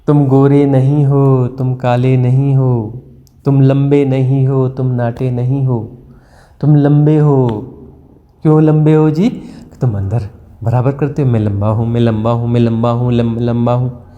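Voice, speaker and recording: A man's voice: medium pitch, little pitch movement, unhurried, long reverb tail, phone-quality sound. Man giving a spiritual talk. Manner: repetitive, mimics inner self-talk, rhetorical questions. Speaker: spiritual teacher. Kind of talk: satsang discourse.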